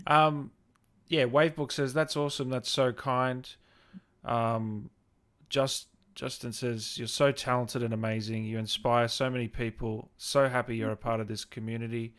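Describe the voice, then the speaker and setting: A man's voice: medium pitch, little pitch movement, normal speed, fairly bright tone, clear, resonant tone. A man hosting a casual online interview, speaking in an agreeable, appreciative way. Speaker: interview host.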